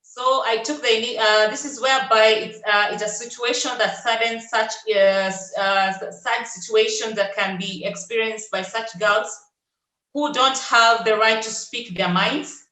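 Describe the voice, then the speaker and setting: A woman from Kenya, presenting on a video call in a halting way, with many fillers and restarts. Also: a little high, steady tone, bright, forceful tone, echoey room, rough recording. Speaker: webinar presenter.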